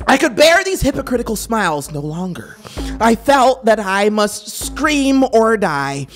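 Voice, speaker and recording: A narrator playing a guilt-mad murderer in a theatrical, drawn-out horror audiobook performance, feverish and unraveling. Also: medium pitch, sing-song. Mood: anguished, desperate. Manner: theatrical, drawn-out, swinging from hush to shriek. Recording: synthesizer music behind the voice.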